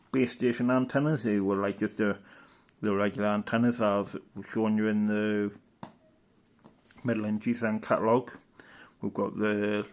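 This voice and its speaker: Rambling man with a creaky, lowish voice. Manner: rambling, hesitant, thinking aloud. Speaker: video presenter. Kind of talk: casual commentary while reading through an old catalogue.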